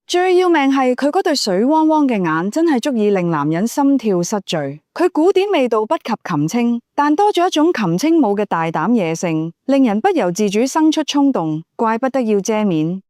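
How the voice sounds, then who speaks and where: A woman's voice: fairly high pitch, big pitch swings, normal speed, a little mellow, no echo, studio quality. A woman reading a scripted dramatic novel as an audiobook narrator.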